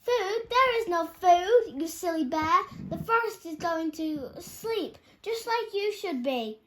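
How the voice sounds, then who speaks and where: A woman's voice: very high-pitched, expressive, slow, fairly bright tone, pitched above the performer's own voice, heavily acted. A woman voicing a small, bossy male dormouse who leads an orchestra in a storybook, in a commanding, emphatic way. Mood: angry scolding. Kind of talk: storybook character voice. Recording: clearly noisy background.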